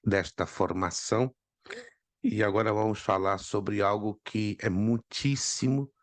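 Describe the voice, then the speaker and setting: A man, hoarse from a cold, teaching an online Bible class and calling on students by name. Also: fairly low pitch, measured pace, no room sound. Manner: repeats key points for emphasis.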